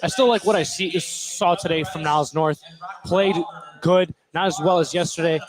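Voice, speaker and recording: A man's voice: fairly high pitch, fairly even intonation, normal speed, a crowd in the background, low-quality recording. An American man giving casual live analysis as a basketball color commentator.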